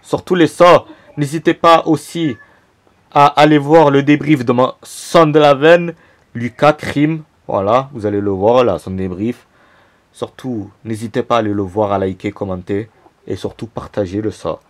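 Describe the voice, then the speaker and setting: A man's voice: medium pitch, moderate pace. A young man from Marseille with a Marseille French accent, ranting casually about football to his own camera in a slangy, repetitive way. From Marseille, France. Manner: animated, repetitive, slangy, fired up. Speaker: football fan YouTuber. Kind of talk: casual vlog rant to camera. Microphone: camera mic, self-filmed.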